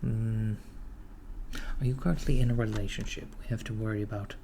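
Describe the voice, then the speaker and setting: A man playing a dry, deadpan villain's recruiter in a soft-spoken ASMR roleplay, measured and a little hesitant as he interviews henchmen. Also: on the low side, a little hiss or hum, close to an everyday voice. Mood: businesslike, deadpan. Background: computer keyboard typing.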